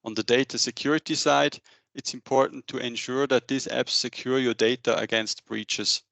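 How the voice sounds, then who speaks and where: A man in his thirties presents a business webinar in a mid-range voice. His delivery is halting, partly read from slides. The headset sound is dry, with little room to it. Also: medium pitch, fairly even intonation, creaky, a bit bright, no room sound. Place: indoor room, on a video call.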